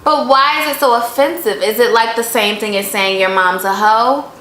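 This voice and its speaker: Casting: playful, inquisitive woman co-host, a little higher voice, echoey room with soft music. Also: normal speed, slight background noise. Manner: curious, playful, asks many questions. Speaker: co-host asking about Jamaican phrases. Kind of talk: casual couple vlog chat.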